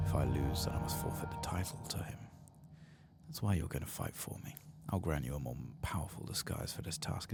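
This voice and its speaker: A man doing an ASMR game playthrough, reading softly in a murmured, often whispered voice, gravelly and close to the microphone. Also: very deep voice.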